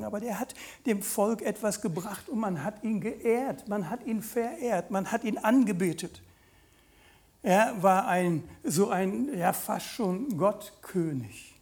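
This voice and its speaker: Male preacher, late 50s, voice a little high, conversational sermon delivery with direct questions and mid-sentence pauses. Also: darkish tone.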